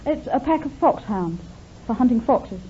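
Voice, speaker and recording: A woman's voice: a little high, animated, normal speed, a loud crowd. A woman in her twenties with an English accent, a quiz contestant giving short, earnest, matter-of-fact answers. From Leicestershire, England. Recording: tinny old radio recording, faint noise.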